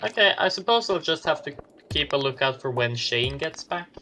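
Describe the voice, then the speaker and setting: A woman's voice: low-pitched, lively intonation, normal speed, bright, forceful tone. A woman chatting playfully and teasing her friends during a co-op video game. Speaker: gamer in a streamed co-op game. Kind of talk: casual chat while gaming with friends. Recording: noisy mic with clicks.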